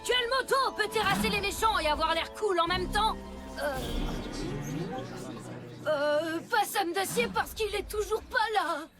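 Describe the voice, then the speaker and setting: A woman voicing a young girl motorbike who hams up a ninja villain in a French children's cartoon. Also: very high voice. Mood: mock menacing. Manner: hammy, drawn-out mock menace. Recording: loud music and crowd behind.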